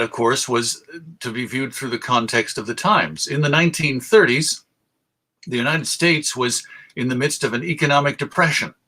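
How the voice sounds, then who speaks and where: A man presenting a history lecture in a measured, deliberate way, pausing for emphasis. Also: medium pitch, sharp tone.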